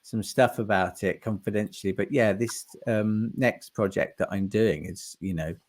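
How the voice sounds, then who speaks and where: Halting man hosting an interview. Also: lowish voice, fairly even intonation, a little mellow. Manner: halting, searching for words, with many fillers. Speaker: podcast host. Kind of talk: casual interview.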